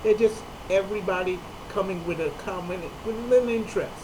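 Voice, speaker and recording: A comedian, a man with a high voice for a man, telling a personal story in an earnest, halting way with frequent restarts. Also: noisy background. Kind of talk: personal talk to camera.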